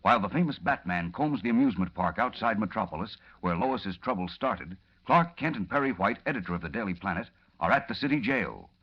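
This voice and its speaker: Male serial narrator, 1940s radio. Grave and theatrical, animated delivery with rough edge, muffled old sound. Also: on the low side, brisk, few pauses. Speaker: announcer-narrator. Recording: dull old radio recording.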